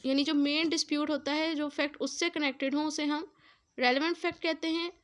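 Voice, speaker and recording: High-pitched Pakistani woman lecturing. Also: fairly even intonation, brisk. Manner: didactic, repeats and rephrases definitions. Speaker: law lecturer. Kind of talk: explanatory lecture mixing English legal terms.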